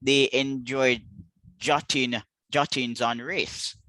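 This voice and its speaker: Male host, Jamaican accent, mid-pitched and sharp-toned, very dry recording, measured scholarly interview style with the odd repeated word. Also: steady tone, no room sound.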